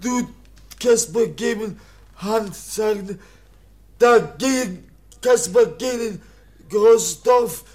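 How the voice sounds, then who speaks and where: A man in a radio drama playing a feral teenage foundling boy who can barely speak, repeating broken words in a childlike, halting way. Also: high-pitched, darkish tone. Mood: agitated, insistent. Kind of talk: German radio drama acting.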